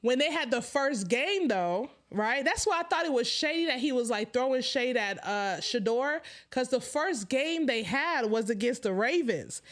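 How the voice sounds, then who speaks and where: High-voiced animated woman host with a stutter. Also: moderate pace, few pauses. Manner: animated, laughs often, stutters. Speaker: live-stream host. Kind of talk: casual live-stream chat.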